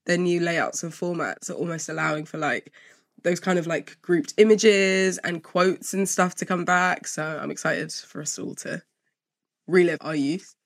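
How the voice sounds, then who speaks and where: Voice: medium pitch, unhurried, creaky, no echo, studio quality. A podcast co-host asking questions in casual, chatty interview talk. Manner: chatty, laughs easily. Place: in London.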